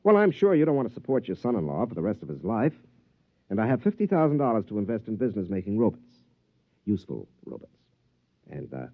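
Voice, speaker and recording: An actor playing a confident, wry American champion golfer in 1950s radio drama style. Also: male voice, on the low side, creaky, full character voice. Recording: thin, phone-like old radio recording.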